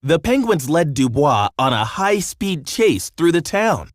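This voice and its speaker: A man narrating a children's story from a script in an expressive storytelling manner. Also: a little high, unhurried, dry recording, clean studio sound.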